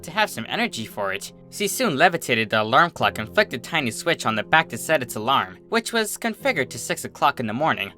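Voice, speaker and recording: A narrator reading a scripted story aloud in an expressive storytelling style. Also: mid-range voice, brisk, few pauses, faint music behind. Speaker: fan fiction narrator.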